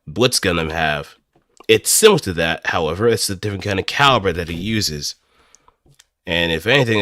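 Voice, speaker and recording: A man streaming casually, thinking aloud and improvising as he goes, with hesitant pauses. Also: low-pitched, sharp tone, dry recording, clean studio sound. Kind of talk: casual rambling livestream commentary.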